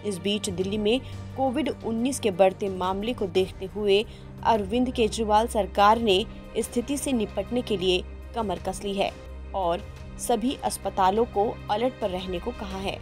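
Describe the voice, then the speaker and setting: A woman's voice: mid-range voice, unhurried, darkish tone. Female news presenter, mid-range voice, formal scripted bulletin read over a music bed. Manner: formal, reading out figures. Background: background music.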